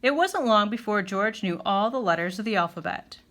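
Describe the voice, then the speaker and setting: A woman reading a children's picture book aloud in an expressive, clearly phrased storytelling style. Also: medium pitch, moderate pace, slight background noise.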